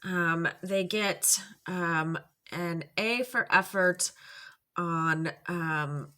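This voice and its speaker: A middle-aged woman with a stuffy nose gives a relaxed makeup review at home. She talks slowly and rambles, full of ums and restarts, and her tone is bright and forward. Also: fairly low pitch. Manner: chatty and rambling, many ums and self-corrections. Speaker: makeup vlogger. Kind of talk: casual makeup review chat.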